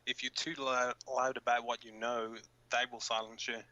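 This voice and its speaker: A man's voice: medium pitch, level tone, moderate pace, bright, forceful tone, dry recording, lo-fi sound, natural voice. A man playing a blunt, cynical resistance fighter co-hosting an underground broadcast in a radio drama, speaking informally. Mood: grim warning.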